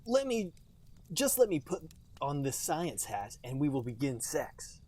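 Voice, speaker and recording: A man voicing an awkward nerdy teenage boy, reading his lines flat and deadpan for a comedy podcast. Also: a little high, measured pace. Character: awkward nerdy male teen.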